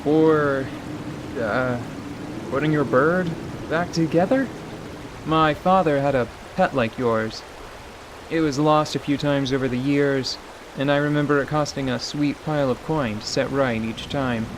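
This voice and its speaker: Casting: man as earthy, self-doubting male adventurer for fantasy radio theatre, mid-pitched, hesitant; wet outdoor ambience. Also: unhurried, noisy background, lightly acted. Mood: earnest. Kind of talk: fantasy radio drama acting. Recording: steady rain and stream ambience behind.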